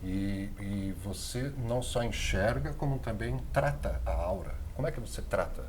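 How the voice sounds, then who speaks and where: A man hosting a studio interview, his voice on the low side. He asks questions in a friendly, relaxed way, with a faint hiss of studio noise behind him. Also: moderate pace. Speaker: TV host.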